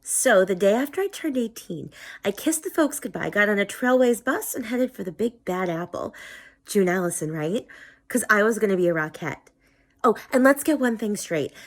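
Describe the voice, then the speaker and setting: A woman playing a brash, sardonic small-town showgirl hopeful in a comic monologue, telling her story bluntly and wryly. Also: mid-range voice. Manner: wry, blunt, conversational storytelling.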